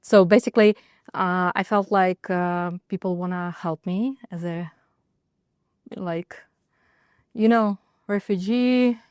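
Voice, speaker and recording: A woman with a Ukrainian accent speaking on a roundtable panel, halting and restarting her sentences. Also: mid-range voice, darkish tone, clear, resonant tone, no echo, studio quality. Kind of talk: roundtable discussion.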